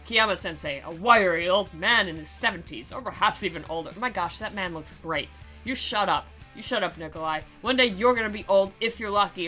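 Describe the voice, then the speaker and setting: A woman's voice: lowish voice, bright, forceful tone. A woman doing a playful, theatrical read-aloud of a visual novel, mixed with sarcastic commentary. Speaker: game commentator and dramatic reader. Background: music.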